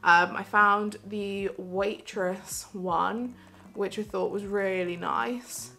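A young woman in her twenties, talking to the camera in a small bedroom. She chats away casually and excitedly, with frequent giggles and plenty of fillers as she shows off her purchases. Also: medium pitch, takes it slowly, gravelly. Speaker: vlogger. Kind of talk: casual haul vlog.

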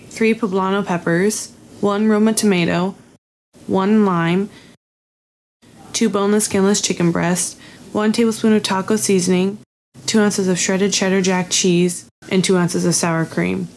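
A young woman reading out a recipe's ingredients and steps in a steady, instructional way. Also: mid-range voice, a little slow, a little hiss or hum. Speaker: home cook. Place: home kitchen, indoors.

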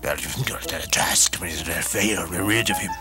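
A man's voice: deep voice, extremely slow. A man voicing a scheming middle-aged royal general in a cartoon, with music behind. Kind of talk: animated cartoon character acting.

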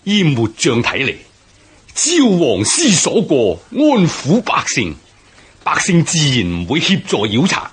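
An actor playing an earnest, principled military officer, formal and emphatic, in a Cantonese historical radio drama with a Hong Kong accent. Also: male voice, fairly high pitch, wildly swooping pitch, a little slow, breathy edge, exaggerated voice. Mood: earnest, urging.

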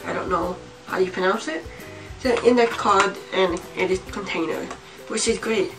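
A young woman's voice comes from a little way off the microphone, with music clearly playing behind it. She has a slight cold and talks in a casual show-and-tell way, at a middling pitch. She often halts and thinks aloud as she goes. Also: normal speed. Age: young adult, about 18. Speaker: vlogger. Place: small home room, indoors. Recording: distant mic, music behind.

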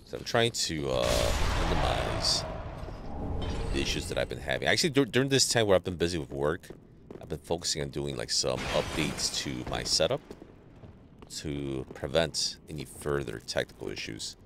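A man streaming a game live, chatting casually with viewers and greeting them by name, sounding a little stuffy from allergies, on a boom mic. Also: normal speed, gravelly, noisy background. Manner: greets chat viewers, relaxed banter. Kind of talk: casual live gameplay chat.

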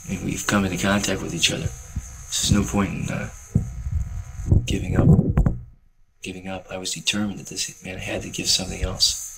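A young man speaking earnestly and calmly about selling books to travelers, with faint hiss from old film audio. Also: deep voice, moderate pace, vocal fry, pauses often. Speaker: book distributor. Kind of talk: reflective commentary over footage.

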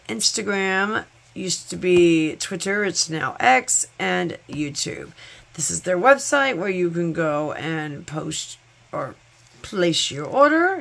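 A woman's voice: fairly low pitch, vocal fry, fairly bright tone. A woman hosting her nail channel, congested from a cold, chatting effusively and thanking her viewers while she unboxes products at home. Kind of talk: sponsored unboxing vlog. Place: home nail studio, table top.

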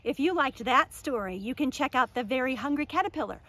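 A middle-aged woman reading a picture book aloud to young children, deliberate and expressive. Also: high voice. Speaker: children's library storyteller.

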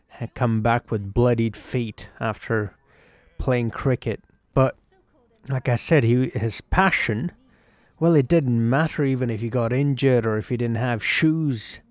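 A man playing an excitable, earnest young schoolboy cricketer who tells his story conversationally, with emphatic pauses, in a dramatised game story. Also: medium pitch, no echo, close to an everyday voice. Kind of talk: first-person dramatised narration over game cutscenes.

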